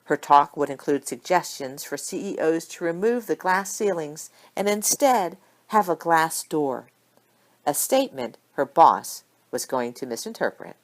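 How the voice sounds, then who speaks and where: A woman with a low-pitched voice narrates fiction as an amateur audiobook reader. Her delivery is scripted storytelling, read expressively. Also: expressive, measured pace.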